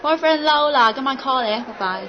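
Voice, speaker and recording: A woman with a Hong Kong Cantonese accent playing a bossy young female best friend in a radio drama, blunt and hurried. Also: high-pitched, animated, a faint crowd. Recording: dull lo-fi audio, faint ambient noise.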